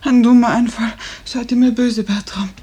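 Sick woman patient, very breathy, half-whispered, higher pitch, dazed, apologetic, 1950s radio drama. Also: fairly even intonation, normal speed, warm tone. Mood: drowsy, apologetic.

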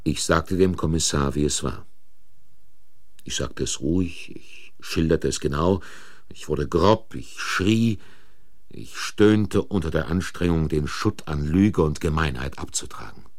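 A man's voice: extremely low pitch, measured pace. An actor playing an agitated man accused of murder in a German radio crime drama, stammering and indignant as he insists on his innocence. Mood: bitter narrating. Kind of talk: German radio crime drama acting and first-person narration. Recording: vintage radio play recording.

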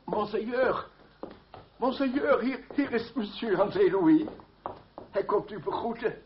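An older man acting in a radio drama as a devoted, deferential old family servant, halting and flustered. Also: sits high, expressive. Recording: dull, noisy old radio recording.

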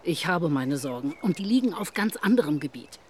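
A woman's voice: sits low, animated, brisk, darkish tone, slightly rough. A woman acting a practical country parson's wife in a German radio drama, chatty, matter-of-fact and wry. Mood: wry, fussing. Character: brisk, practical country parson's wife fussing over household.

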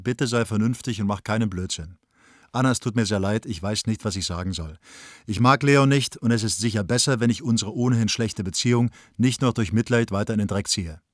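A man acts a brisk male playwright in a radio play, reading out his letters. The voice sits at a medium pitch in a very dry studio, matter-of-fact with an ironic edge. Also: no echo, natural voice. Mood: impatient, then awkward, cool. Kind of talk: radio drama letter monologue.

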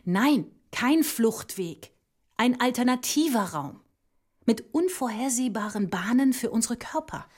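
An actress playing a resolute visionary woman mathematician in a literary radio drama, speaking in a measured, deliberate way. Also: a little high, lively intonation.

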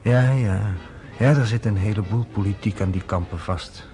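Guy acting as a laid-back journalist, low-ish voice, wry and persuasive, soft music under it. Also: normal speed, lightly acted. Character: worldly, wry male journalist. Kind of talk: radio play acting.

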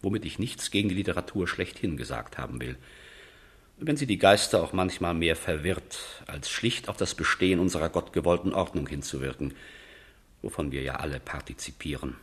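An actor playing a pedantic, pompous envoy who loves order, in a period radio drama, fussy and condescending with a husky voice. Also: male voice, sits low, expressive, fairly quick, a bit bright, heavily acted.

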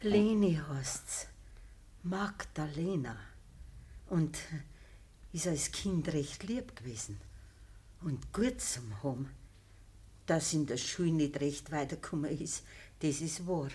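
A woman playing a dying, middle-aged peasant mother in a radio play, humble and sorrowful, speaking halting Bavarian dialect in a weak voice. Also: low-pitched, expressive, dark tone, heavily acted. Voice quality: husky, weak. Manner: halting, deferential.

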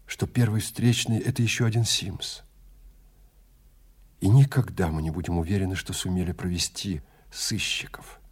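Man reading as an anxious fugitive wife, haunted, hushed, brooding, low pitch, audio drama. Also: slightly rough.

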